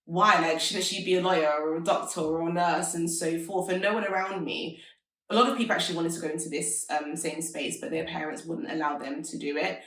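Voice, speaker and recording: A woman's voice: lowish voice, fairly even intonation, brisk, a bit bright. A woman in her thirties, an entrepreneur talking casually and upbeat in an interview, full of "like"s. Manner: chatty, many filler "like"s, upbeat. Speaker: interview guest, fashion entrepreneur. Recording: roomy echo, mic at a distance.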